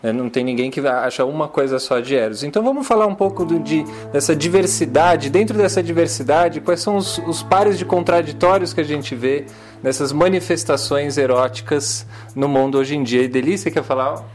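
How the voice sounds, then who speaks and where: A man's voice: on the high side, a little fast, faint music behind. Man in his thirties hosting a radio talk. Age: 30s. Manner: thoughtful, searching for words with restarts. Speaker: radio program host. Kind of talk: casual community radio talk. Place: publisher's recording studio. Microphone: handheld recorder.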